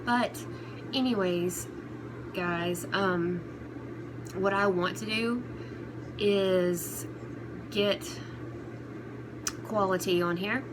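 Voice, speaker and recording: A woman talking casually and unscripted to her viewers as an online commentary host, in a halting, rambling, conversational way. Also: on the low side. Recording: clear background noise throughout.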